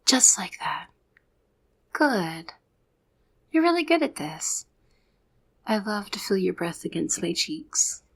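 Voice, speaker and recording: Casting: actress as kind-hearted but exasperated female secret agent, slow delivery, creaky edge, ASMR roleplay. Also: medium pitch, sing-song, a bit bright, exaggerated voice. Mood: whispered soothing. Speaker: voice actor in audio roleplay.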